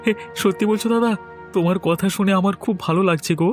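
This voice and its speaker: A man's voice: high voice, quick pace, soft background music, close to an everyday voice. A man voicing a thin, meek young farmer in a village cartoon, speaking hesitantly but eagerly. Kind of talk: cartoon voice acting.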